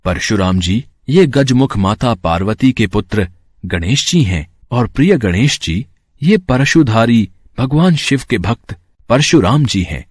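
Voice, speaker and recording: A man's voice: medium pitch, lively intonation, measured pace, slightly rough, no room sound. A man playing a respectful attendant of a god, speaking formally and deferentially in a mythological story. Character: respectful male divine attendant, gatekeeper of Shiva.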